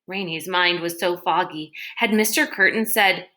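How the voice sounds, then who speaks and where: A woman reading a children's novel aloud in an expressive storytelling style. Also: on the low side, moderate pace. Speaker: read-aloud reader. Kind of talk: expressive children's book narration.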